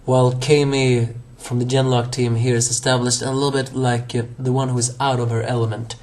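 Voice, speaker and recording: A hoarse young man reviewing an anime episode on his own, rambling with many fillers, restarts and self-corrections. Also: mid-range voice, level tone, a little fast, a little mellow.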